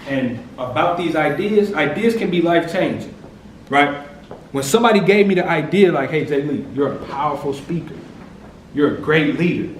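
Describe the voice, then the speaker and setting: A man with a deep, powerful voice giving a motivational speech, checking in with the crowd often. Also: lively intonation, moderate pace. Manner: punctuates lines with "right?". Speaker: motivational speaker. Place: large echoey hall. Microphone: distant from the recording mic. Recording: echoey hall from far off, light noise.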